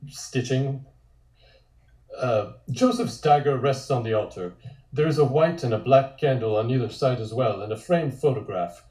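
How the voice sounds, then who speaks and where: A man's voice: mid-range voice, mellow. A man reading a comic script aloud as narrator. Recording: echoey room.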